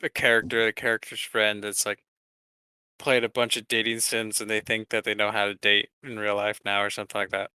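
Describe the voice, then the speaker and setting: A man co-hosting a podcast, chatting casually about games in a somewhat halting, conversational way. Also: fairly low pitch, little pitch movement, sharp tone, clean tone, no echo. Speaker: podcast co-host.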